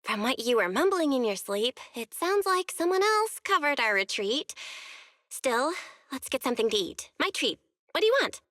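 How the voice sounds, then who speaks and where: A woman voicing a calm, kind young female manager in a video game, speaking gently with a light teasing touch. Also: sits high, normal speed, dry recording, studio quality. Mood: apologetic, friendly.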